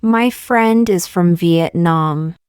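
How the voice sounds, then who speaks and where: A woman reading short practice sentences aloud for learners, saying each one twice, slowly and carefully. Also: lowish voice, darkish tone, clean tone, no room sound, studio quality. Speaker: English teacher.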